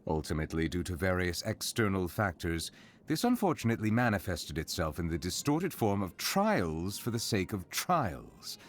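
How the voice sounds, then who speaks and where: A man playing a formal, reserved and courteous chief justice in a video game, speaking in a measured, eloquent, solemn way. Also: deep voice, very animated, slight background noise. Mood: grave, reflective. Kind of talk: scripted character voice lines.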